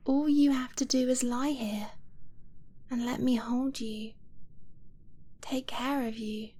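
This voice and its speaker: A woman playing a tender, devoted dragon-blooded girlfriend in an intimate ASMR romance roleplay, soft and breathy, hesitant and affectionate, often slipping into a whisper. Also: on the high side, steady tone. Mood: tender, cherishing. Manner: slow, hesitant, pleading and affectionate.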